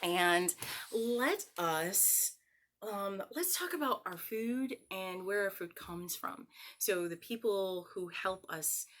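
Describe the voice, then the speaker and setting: A woman hosting a story time for children, talking to them in an animated, encouraging way and leading singalongs. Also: mid-range voice, slow.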